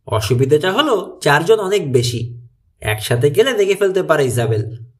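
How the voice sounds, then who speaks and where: Audiobook role: confident young boy sleuth male reader, explains plans decisively in a highish, animated voice. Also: moderate pace. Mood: firm. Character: clever, confident teenage boy detective, the planner.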